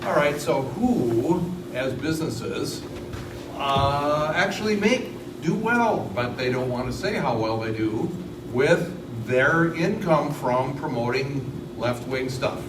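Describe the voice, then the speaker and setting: A man in the audience asking a question, halting and thinking aloud. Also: mid-range voice, lively intonation, recorded from a distance. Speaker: audience member. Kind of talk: question from the floor. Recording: echoey room heard from afar with noise.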